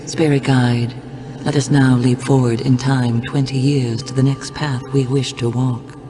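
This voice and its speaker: A man's voice: mid-range voice, little pitch movement, a little slow, background noise, natural voice. An actor playing a grave, commanding oracle, a man speaking in formal, portentous scripted narration. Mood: solemn. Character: grave oracle of three kingdoms, commanding male seer. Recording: music behind the voice.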